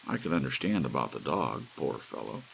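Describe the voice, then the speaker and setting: A man performs an elderly, brainy scientist in a sci-fi audiobook. He lectures briskly and emphatically in a low, creaky voice with animated pitch, over a faint hiss of rain. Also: heavily acted, low-quality recording. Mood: probing. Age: 60s. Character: brisk, brainy elderly male scientist, intent and self-assured.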